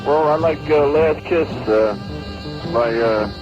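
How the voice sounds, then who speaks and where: A laid-back male caller with a somewhat high voice, chatting informally on a radio phone-in. The line is muffled, and music plays in the background. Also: takes it slowly. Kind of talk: casual live radio call.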